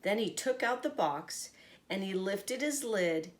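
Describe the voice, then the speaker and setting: Lady teacher reading a kids book, really lively up and down voice, takes it slow. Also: medium pitch. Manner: expressive, pauses to comment to young listeners. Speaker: teacher reading aloud to children. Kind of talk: animated picture-book storytelling.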